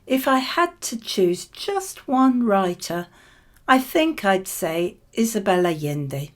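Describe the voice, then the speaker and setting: A woman reading scripted model answers for a spoken exam, with measured, clearly phrased delivery. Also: mid-range voice, big pitch swings.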